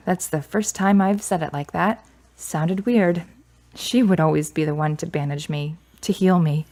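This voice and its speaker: A woman's voice: fairly low pitch, unhurried, a little mellow. A woman acting as a grieving daughter in a radio drama, guarded and skeptical, with a wry, blunt manner.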